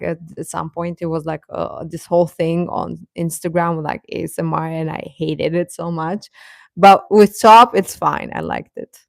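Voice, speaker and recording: A woman chatting casually as host of a live stream, rambling and halting, with a sarcastic streak. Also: on the low side, vocal fry, dry recording, studio quality. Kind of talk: casual live-stream chat about pop fandom.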